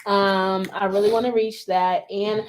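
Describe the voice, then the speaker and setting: A woman's voice: medium pitch, little pitch movement, a little slow, slight background noise. A woman hosting a casual podcast chat, playful and loose, reading out listener questions with plenty of filler "ums". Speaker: podcast host.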